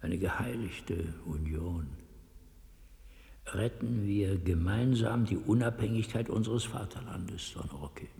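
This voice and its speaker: A man playing a pompous, solemn political dignitary with a hushed, gravelly voice in a German radio play. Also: sits low, slow, exaggerated voice. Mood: solemn, grandiose. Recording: long reverberant hall.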